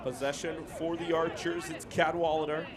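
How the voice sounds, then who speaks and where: A young American man calls a live football match in a quick, chatty way, turning now and then to his co-commentator. His voice sits a bit high, and loud crowd noise from the outdoor ground runs under it. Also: flat delivery. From United States. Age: young adult. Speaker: play-by-play commentator.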